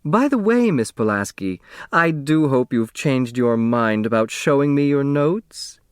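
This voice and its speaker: A man's voice: mid-range voice, lively intonation, unhurried, clear, resonant tone, dry recording, studio quality, pitched above the performer's own voice, lightly acted. A man voicing a middle-aged social-climbing mother in a storybook, wheedling and honey-sweet with affected airs. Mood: honeyed, coaxing.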